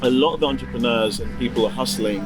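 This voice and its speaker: A man's voice: medium pitch, fast talker. Brit guy, yoruba first language, laid back chatty host, music playing under him. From United Kingdom. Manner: relaxed, reflective, frequent soft "mm" backchannels. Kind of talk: casual interview chat.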